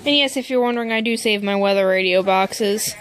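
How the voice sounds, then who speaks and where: A woman's voice: medium pitch, fairly quick, a little hiss or hum. A woman casually narrating an unboxing as a vlogger, informal, with muttered asides to herself. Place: indoors at home.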